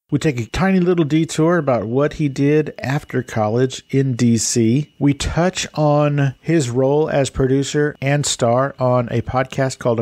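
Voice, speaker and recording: A man's voice: mid-range voice, darkish tone. Upbeat man hosting a podcast. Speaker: podcast host. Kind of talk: casual interview chat.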